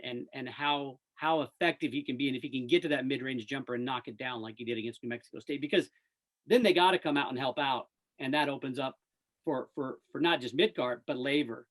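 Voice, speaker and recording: A man's voice: medium pitch, poor audio. An American man, a radio play-by-play announcer, chatting casually and upbeat as a guest in a sports interview.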